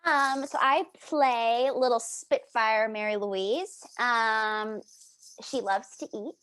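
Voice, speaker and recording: A woman with a fairly high voice, recorded very dry. She is an actress in informal panel talk and takes her time, speaking very slowly. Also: a bit bright, clean tone, no room sound, rough recording. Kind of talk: casual panel talk about a role.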